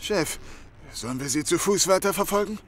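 Man acting eager loyal henchman, higher male voice, radio drama villain's sidekick, faint background noise. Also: lively intonation, moderate pace, fairly bright tone. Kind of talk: German radio play acting.

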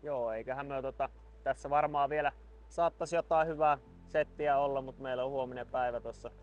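Excited fly angler by a river. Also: male voice, medium pitch, dull audio, soft background music. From Finland. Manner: excited shouts while landing a fish, then calm explaining. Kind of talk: casual on-the-spot commentary. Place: outdoors wading in a river rapid at dusk.

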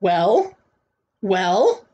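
A woman's voice: mid-range voice, big pitch swings, extremely slow, mellow, slightly rough, dull audio, exaggerated voice. A woman voicing a pompous, angry, commanding storybook king. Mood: demanding. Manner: imperious, demanding. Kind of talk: storybook character acting.

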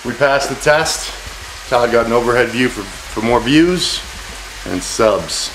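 A man's voice: mid-range voice, animated, a little slow, noisy background. A man in his forties hosting a cooking vlog in his home kitchen, brash, joking and profane. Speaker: cooking show host. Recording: sizzling frying pan behind.

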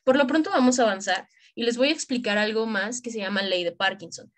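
A woman's voice: on the high side, brisk. A young adult woman from Mexico with a Mexican accent, giving an informal tutorial in colloquial, slangy language, speaking straight to the listener. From Michoacán, Mexico. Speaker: tutorial presenter for medical students. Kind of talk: informal screen-share tutorial.

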